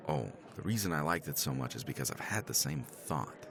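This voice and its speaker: A man's voice: rumbling low, animated, fairly quick, gravelly, crowd noise. A man playing a professor in a romance ASMR roleplay, teasing and self-deprecating, swearing casually and laughing often.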